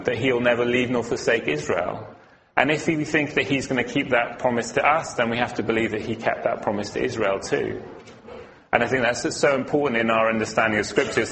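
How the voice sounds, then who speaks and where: A man's voice: mid-range voice, fairly bright tone, a little hiss or hum, rough recording. A man from Wales giving an earnest, informal teaching talk as a guest speaker at a church, with a few fillers.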